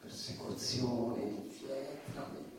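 A man playing a desperate comedian unravelling on stage, in a halting, repetitive, agitated theatrical monologue. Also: mid-range voice, natural voice. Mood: broken, apologetic. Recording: echoey distant stage, noisy.

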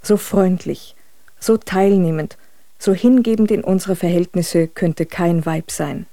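A woman's voice: fairly low pitch, measured pace, vocal fry, mellow, no room sound. An actress playing an effusive woman reading a gushing, emphatic letter in a German radio drama. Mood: gushing, delighted. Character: effusive woman warmly reporting on a beloved guest.

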